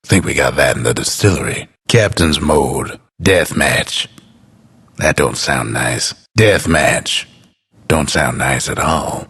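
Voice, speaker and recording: An actor playing a laconic, folksy, world-weary male game announcer with an American accent and a gravelly, husky voice. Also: rumbling low, a bit bright, exaggerated voice. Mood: dry, musing. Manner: folksy, unhurried, understated. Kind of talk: scripted game announcer lines.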